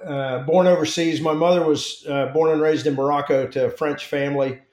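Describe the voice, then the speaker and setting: A man's voice: on the high side, steady tone, fairly quick, a little mellow, roomy sound. A man, a former Marine guest on a podcast, speaking easygoing and self-deprecating, with many fillers. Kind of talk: conversational interview answers.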